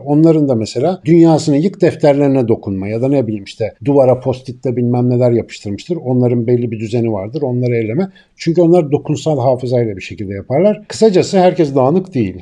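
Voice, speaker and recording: A man's voice: medium pitch, warm tone. A man in his forties, the host, giving an explanatory talk to camera from a small room and gesturing a lot with his hands. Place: small room indoors with guitars on the wall.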